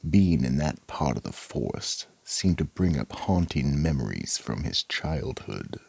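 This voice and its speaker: A man performing as the sinister host and narrator of an audio mystery play, with a gravelly, husky voice and an ominous theatrical manner. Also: rumbling low, sing-song, a little slow, a little mellow, no echo, heavily acted. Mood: ominous, measured. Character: sinister male host of a mystery radio show. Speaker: narrator and horror anthology host.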